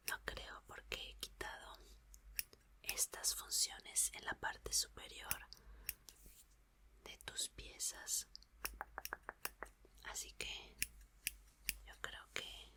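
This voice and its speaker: A woman playing a reassuring, curious young female mechanic in an ASMR roleplay, speaking in a soft, breathy, soothing whisper. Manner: soft, unhurried, soothing murmur with repeated phrases.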